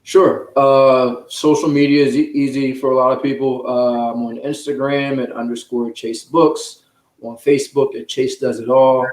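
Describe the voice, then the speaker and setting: A man's voice: mid-range voice, little pitch movement, moderate pace, a little mellow. Man, guest author, casual interview talk, encouraging, sincere delivery, audible room echo. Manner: encouraging, earnest. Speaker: children's book author, guest. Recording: roomy, echoing sound.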